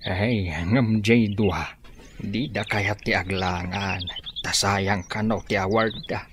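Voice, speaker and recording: A man's voice: fairly low pitch, steady tone, slow pace, a bit bright, a little hiss or hum. A stern farmer father. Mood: grumbling. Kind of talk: radio drama acting.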